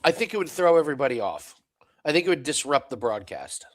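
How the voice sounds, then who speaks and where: A man's voice: on the high side, big pitch swings, fast talker. An American man co-hosting a casual live darts chat, laughing often and calling the scores play by play. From United States. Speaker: co-host calling darts bets.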